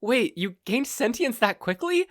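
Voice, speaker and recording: A man's voice: very high voice, big pitch swings, unhurried, no echo, studio quality, pitched above the performer's own voice, full character voice. A man voicing a kidnapped mother who is courteous, inquisitive and dryly unimpressed, in a story reading. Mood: incredulous.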